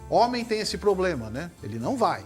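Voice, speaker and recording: A middle-aged Brazilian man, a surgeon guest, explaining in a measured way and often tagging his points with "né?". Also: high-pitched, very animated, soft background music. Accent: Brazilian Portuguese. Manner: measured, tag questions like "né?". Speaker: head and neck surgeon guest. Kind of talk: explanatory interview answers.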